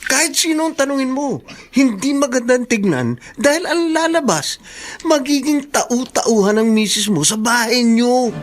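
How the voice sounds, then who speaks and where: A man's voice: very high-pitched, animated, moderate pace. An actor with a Filipino accent playing a frank, sensible male friend in a radio drama. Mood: disapproving, advising. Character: frank, sensible male buddy giving firm advice.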